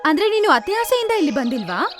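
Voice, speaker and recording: A woman's voice: extremely high pitch, big pitch swings, a little fast, faint music behind. A woman voicing a commanding, magical queen of birds in a cartoon story. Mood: baffled.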